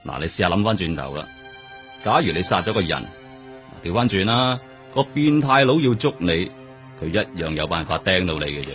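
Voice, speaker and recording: A man's voice: low-pitched, sing-song, brisk, a bit bright, faint music behind. An actor playing a world-weary, hard-drinking police detective in his forties, speaking colloquial Hong Kong Cantonese in a radio drama. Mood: wry, world-weary. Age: 40s. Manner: colloquial, philosophizing.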